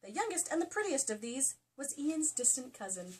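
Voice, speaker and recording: A woman's voice: sits high, very animated, normal speed. A woman doing a comedic dramatic reading of a novel as host, giggly and theatrical, with mock-dramatic emphasis. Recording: distant mic, clearly noisy room sound.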